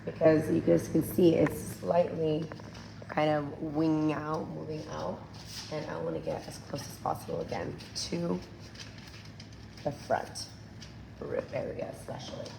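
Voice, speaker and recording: A woman's voice: sits low, fairly even intonation, unhurried, creaky. A woman hair colorist teaching a coloring technique in a chatty tutorial, her voice still recovering from being lost. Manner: chatty, addresses viewers as "you guys". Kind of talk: hands-on tutorial demonstration. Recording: echoey room, distant mic, noisy.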